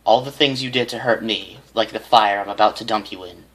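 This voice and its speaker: A man's voice: lowish voice, brisk, a bit bright, low-quality recording. Man voicing a defiant young unicorn schoolboy. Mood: cold, menacing. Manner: defiant, taunting. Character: young male unicorn, bullied, defiant and coldly menacing.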